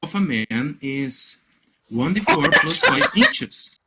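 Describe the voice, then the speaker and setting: A speaker with a mid-pitched voice chats casually and jokingly. The delivery is sardonic and rambling, with swearing thrown in freely. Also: huge pitch swings, slow pace, very noisy. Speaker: co-reader giving commentary.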